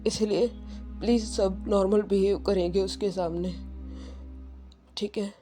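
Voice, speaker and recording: Mellow-voiced woman dramatizing a story. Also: medium pitch, fairly even intonation, measured pace. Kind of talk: dramatized audio story narration. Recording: music behind the voice.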